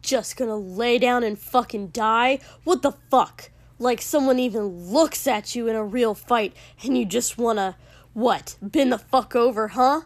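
Woman acting a furious, gravelly young man, shouting. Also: on the high side, unhurried, no room sound. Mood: furious, scornful. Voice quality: sharp, gravelly. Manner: barking, swearing, dismissive. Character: furious, sharp, gravelly-voiced young man, foul-mouthed and scornful.

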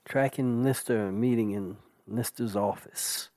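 A man's voice: on the low side, a little slow, a little mellow, lightly acted. A man playing a matter-of-fact narrator of a scripted science fiction audio drama.

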